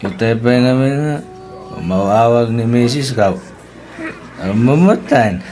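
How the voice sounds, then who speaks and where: A man's voice: medium pitch, lively intonation, measured pace, warm tone, some chatter behind, a little hiss or hum, close to an everyday voice. A man with an Ilocano accent acting a jovial married drinking buddy in a radio drama.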